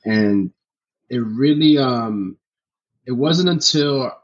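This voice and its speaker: A young man from New Jersey, a filmmaker guest on a podcast, talking casually in a relaxed, rambling way full of "you know" and "like". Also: mid-range voice, slow pace, lo-fi sound. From New Jersey, USA. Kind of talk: casual interview chat.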